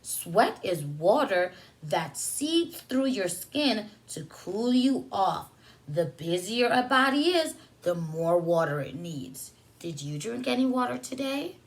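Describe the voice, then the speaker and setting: Slow, expressive woman reading to young children. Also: mid-range voice, low-quality recording. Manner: addresses young children directly with questions and simple asides. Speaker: preschool teacher reading a picture book aloud. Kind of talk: children's story time, read-aloud with simple explanations.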